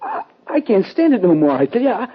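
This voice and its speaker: A man playing a delirious young cowboy in a 1950s radio western, rambling and halting in rustic speech. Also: high voice, huge pitch swings, breathy edge, lo-fi sound, heavily acted. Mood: delirious, agitated. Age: young adult. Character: delirious young cowboy, sun-struck and pleading. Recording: old radio recording with hiss and clicks.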